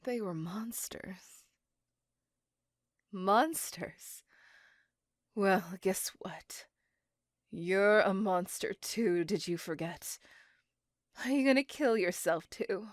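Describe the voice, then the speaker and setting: A woman voicing an intense, possessive, centuries-old female vampire in a dramatic, breathy ASMR roleplay, often dropping into a whisper. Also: medium pitch, drawn-out words, pauses often, no echo, clean studio sound. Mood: furious, accusing.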